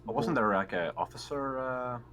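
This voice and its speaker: A man's voice: medium pitch, moderate pace, fairly bright tone, slight background noise. A man bantering casually as a player in a tabletop roleplaying game.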